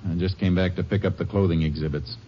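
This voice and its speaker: A calm, plainspoken Texas Ranger, played by an actor with a very low voice and polite manners. The sound is muffled with a faint hiss, like a 1950s radio broadcast. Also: male voice, fast, natural voice. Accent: Texan. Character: steady Texas Ranger investigating a murder. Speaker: lead actor in a radio drama. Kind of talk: 1950s radio drama acting and narration.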